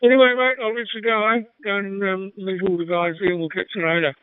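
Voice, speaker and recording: High-pitched man on tinny two-way radio. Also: flat delivery, fast talker, clean tone, dry recording. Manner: matey, informal. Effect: two-way digital radio. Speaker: amateur radio operator. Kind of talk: casual radio chat. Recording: tinny narrowband digital radio transmission.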